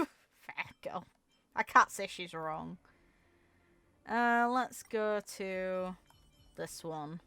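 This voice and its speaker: Casting: female game content creator, casual musing commentary, mid-pitched and bright, very dry sound. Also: drawn-out words, pauses often, smooth, no echo. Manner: informal, musing aloud.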